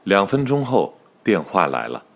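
A man's voice: low-pitched, very animated, moderate pace. A man narrating a comic radio drama as a wry, mock-serious storyteller, with noise behind him. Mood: wry, dramatic.

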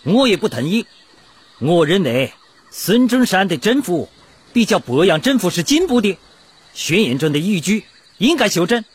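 A man's voice: high voice, big pitch swings, moderate pace, slightly rough, heavily acted. A man acting in a Chinese historical radio drama as an idealistic, assertive young revolutionary delegate with a fervent, oratorical delivery.

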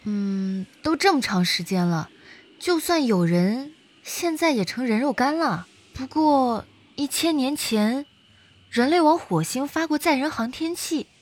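A woman playing a practical, gently bossy research colleague in an audio drama, speaking in an expressive, matter-of-fact way. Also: high-pitched, unhurried. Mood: matter-of-fact, musing. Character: Irene, lively practical female research colleague.

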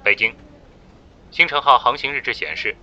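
A man's voice: medium pitch, lively intonation, normal speed, bright, forceful tone, muffled recording. A man playing a businesslike astronaut in his thirties, reporting in clipped, procedural phrases in a radio drama. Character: 35-year-old male astronaut piloting a rescue spaceship, businesslike. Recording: noisy spacecraft radio link.

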